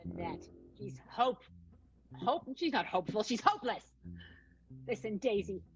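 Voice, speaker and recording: An actress playing a guarded, wistful high-wire performer in an improvised comic radio soap, with melodramatic delivery and slightly dull sound. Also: female voice, very animated, a little slow, faint music behind. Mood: guarded, exasperated.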